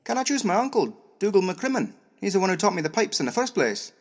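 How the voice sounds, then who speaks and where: A man playing a restless, plain-spoken young Highlander with a Scottish accent in an audio drama. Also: very animated, fast talker, full character voice. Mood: eager. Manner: colloquial, grumbling. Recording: audible background noise.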